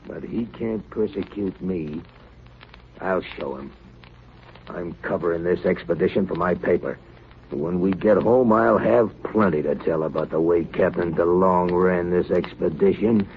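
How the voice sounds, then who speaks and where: Aggrieved male expedition reporter, very low voice, dramatic 1930s radio acting on a thin, narrowband recording. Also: measured pace. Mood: aggrieved, defiant. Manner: resentful, complaining, dramatic. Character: bitter, aggrieved male newspaperman stuck on a polar expedition. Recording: muffled, tinny, noisy 1938 radio recording.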